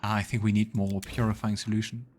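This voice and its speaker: A man's voice: fairly low pitch, flat delivery, a little fast, slight background noise. A man hosting a let's play, mixing game commentary with read-aloud narration. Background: music.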